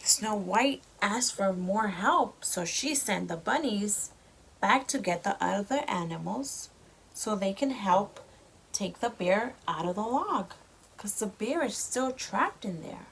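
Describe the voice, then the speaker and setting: Expressive woman slowly reading a storybook aloud. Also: mid-range voice, creaky, a little hiss or hum, poor audio. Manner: slow, expressive child-directed delivery. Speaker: mother reading a story to her child. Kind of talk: storybook read-aloud with simple explanations for a child.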